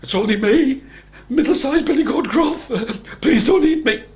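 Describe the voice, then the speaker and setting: A man's voice: very high-pitched, animated, measured pace, pitched above the performer's own voice. A man voicing a nervous middle-sized billy goat in a storybook tale, squealing and stammering as he pleads and bargains. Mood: scared, pleading.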